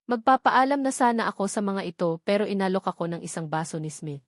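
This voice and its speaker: A woman's voice: mid-range voice, animated, fast talker, dry recording, studio quality. A woman reading a first-person romance novel as an audiobook narrator.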